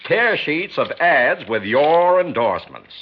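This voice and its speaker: An actor playing a slick, pushy sports manager in a 1960s radio drama, brisk and persuasive in a folksy salesman's way. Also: fairly high pitch, very animated. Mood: smooth persuasion. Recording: muffled, faintly noisy 1960s radio recording.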